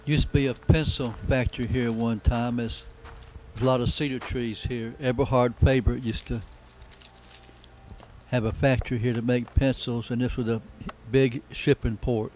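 A man gives a casual travelogue narration in a medium-pitched voice that barely moves. He is plain-spoken and reflective, taking his time. The amateur camcorder sound carries faint outdoor noise. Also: level tone, normal speed, a little mellow.

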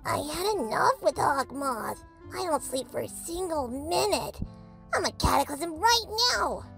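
A woman's voice: high voice, lively intonation, unhurried, creaky, fairly bright tone, soft background music, exaggerated voice. A woman voicing a tiny, grumpy male cat spirit with a dramatic, grumbling manner in a comic dub. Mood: vengeful.

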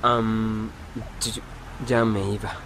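A man's voice: fairly low pitch, level tone, slow, a bit bright, a little hiss or hum. A man with a Latin American Spanish accent playing a guarded young murder suspect in an amateur film dub, defensive and challenging. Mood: startled, evasive. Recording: music under the dialogue. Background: background music.

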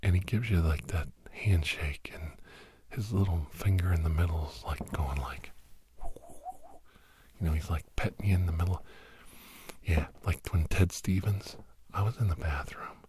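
A middle-aged man in a whispered ASMR podcast monologue, breathy and secretive, rambling haltingly and repeating words, close to the mic. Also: darkish tone, a little hiss or hum. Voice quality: breathy whisper breaking into low murmur. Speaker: podcast host.

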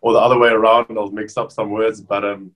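South African man, low voice. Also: brisk. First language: Afrikaans. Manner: relaxed, frequent restarts. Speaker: rugby player guest. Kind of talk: casual interview chat. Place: bedroom at home, indoors. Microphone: video call.

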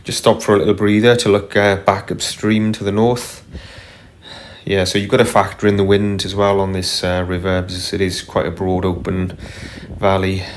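A man narrating casually as he paddles a kayak on a river, unhurried, with frequent ums and uhs. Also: low-pitched, flat delivery, a little hiss or hum. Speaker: kayaker vlogger. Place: kayak on a tidal river outdoors. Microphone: camera mounted on the kayak.

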